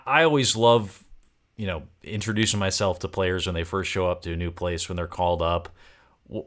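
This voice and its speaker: A man's voice: sits low, fairly bright tone. A man hosting a baseball podcast, interviewing his guest in a casual, conversational way.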